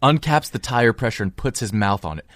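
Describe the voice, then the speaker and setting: A man's voice: medium pitch, animated, brisk, a bit bright, no room sound. A man narrating a script in an informal amateur read-aloud.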